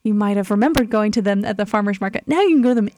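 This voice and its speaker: A woman's voice: mid-range voice, very fast, darkish tone, slight background noise. A woman, a kitchen director, giving upbeat promotional interview answers with frequent "um"s.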